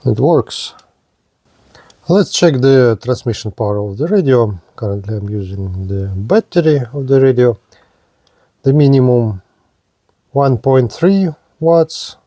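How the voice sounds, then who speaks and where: A man giving a hands-on demonstration of gear as a product reviewer, speaking in short, halting phrases. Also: medium pitch, lively intonation, dark tone, no echo.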